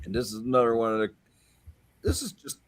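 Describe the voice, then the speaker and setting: A man's voice: medium pitch, sing-song, fast talker. A man in his fifties, a panelist on a casual livestream music chat, enthusiastic and jokey. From Pennsylvania. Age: 50s.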